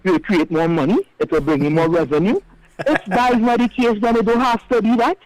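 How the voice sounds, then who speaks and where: A Trinidadian man with a loud, big voice and a high pitch for a man, pressing his points emphatically. His voice sits dry and close to the mic, with no room around it. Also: steady tone, brisk, no echo, low-quality recording. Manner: emphatic, insistent. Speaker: co-host. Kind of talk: casual radio chat.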